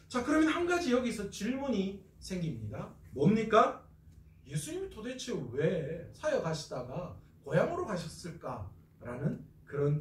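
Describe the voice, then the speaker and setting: A man's voice: high-pitched, reverberant, distant mic. A man from North Gyeongsang, South Korea, preaching an expository Bible lecture as a pastor, asking questions and answering them himself.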